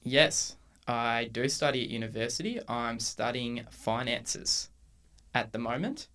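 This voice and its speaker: A man in his twenties with an Australian accent, co-hosting a casual podcast and chatting in a relaxed way with frequent ums and restarts. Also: medium pitch, measured pace. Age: 20s. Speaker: podcast co-host. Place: university room.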